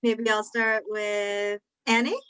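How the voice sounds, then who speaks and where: A woman's voice: mid-range voice, little pitch movement, takes it slowly, a bit bright, smooth. A woman hosting a panel, welcoming and thanking each guest in turn. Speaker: host. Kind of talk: moderating a panel conversation.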